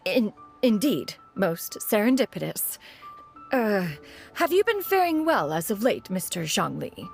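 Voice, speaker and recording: A woman's voice: medium pitch, big pitch swings, slow, creaky, soft background music, full character voice. A woman playing a proud, dignified mentor in an anime game, speaking in a formal, stately way. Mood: flustered, polite. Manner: formal, stately, archaic phrasing. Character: dignified, proud immortal woman mentor, haughty yet caring.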